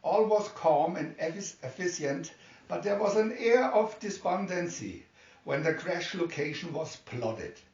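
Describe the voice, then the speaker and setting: A man's voice: high voice, lively intonation, a little slow, darkish tone. A man reading a scripted adventure story aloud as a dramatic narrator. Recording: muffled, echoey room, distant mic.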